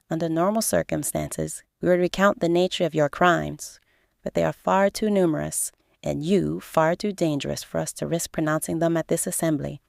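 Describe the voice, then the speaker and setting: A woman takes on the part of a stern male arbiter in a fantasy radio drama. The delivery is formal, stiff and deliberate, low in pitch with a gravelly creak. The sound is close and dry. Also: a little mellow, dry recording. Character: stiff, stone-faced male arbiter pronouncing a death sentence.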